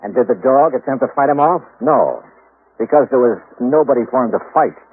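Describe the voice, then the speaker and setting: An actor playing a sharp, confident insurance investigator in the brisk, commanding style of 1950s radio detective drama. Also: male voice, medium pitch, animated, slightly rough. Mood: accusing. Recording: noisy, tinny old radio recording.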